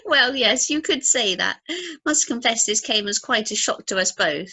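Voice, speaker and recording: Casting: actress as nosy, chatty expectant young woman, very animated melody, sharp bright tone, radio play gusto. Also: on the high side, moderate pace, breathy edge, heavily acted. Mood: breezy, chatty. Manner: gushing, gossipy. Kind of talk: amateur radio play acting.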